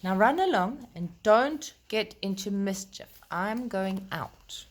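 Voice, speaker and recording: A woman voicing a firm, cautioning mother rabbit in a storybook, speaking deliberately. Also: medium pitch, expressive. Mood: stern warning. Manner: slow, deliberate storybook delivery.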